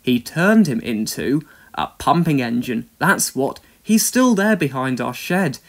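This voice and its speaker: A man's voice: mid-range voice, very animated, measured pace. Guy doing a proud old granddad train from a storybook, fussy old-fashioned talk, voice swings about. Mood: stern. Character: proud, fussy, kindly old male steam engine.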